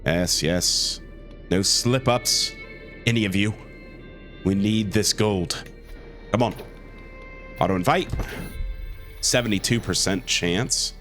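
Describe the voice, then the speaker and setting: A man with a cold talks through a strategy game in a lowish, stuffy voice. He keeps it easygoing and leans on plenty of "uh"s. Faint music plays underneath. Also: slow, pauses often, fairly bright tone. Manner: easygoing, with many "uh" and "um" fillers. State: sick with a cold. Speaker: let's play host. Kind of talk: gameplay commentary.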